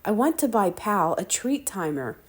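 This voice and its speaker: A woman's voice: medium pitch, sing-song, moderate pace, slightly rough. A woman voicing an earnest, eager schoolboy character in a children's storybook. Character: earnest young boy aardvark, eager schoolkid.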